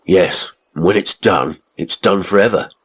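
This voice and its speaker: Man as cocky young Victorian sceptic, flippant, mocking, rhetorical, raised pitch, rough-edged, storybook character. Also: unhurried. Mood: cheerful. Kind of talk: audiobook character acting.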